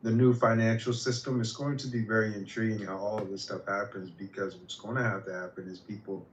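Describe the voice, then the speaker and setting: A man's voice: on the low side, flat delivery, fairly quick. A man calling into a group audio room, delivering an earnest off-the-cuff monologue. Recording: echoey, noisy online group audio.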